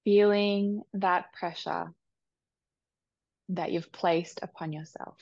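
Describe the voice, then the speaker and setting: A woman leading a guided meditation with soft, soothing instructions. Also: lowish voice, fairly even intonation, vocal fry, dry recording, muffled recording. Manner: soft, slow, soothing instructions with long pauses.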